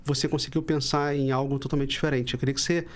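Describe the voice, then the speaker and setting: A man's voice: mid-range voice, little pitch movement, quick pace. A Brazilian man hosting a podcast, talking in an upbeat, chatty, slangy way. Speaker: podcast host. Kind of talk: casual podcast interview.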